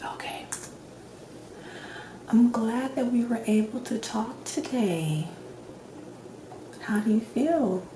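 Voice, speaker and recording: Slow, soft-spoken ASMR woman. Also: a little high, expressive. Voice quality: soft, partly whispered. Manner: gentle, reassuring, clinician-like questioning. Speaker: ASMR creator playing a doctor in a roleplay. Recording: roomy echo, clear background noise.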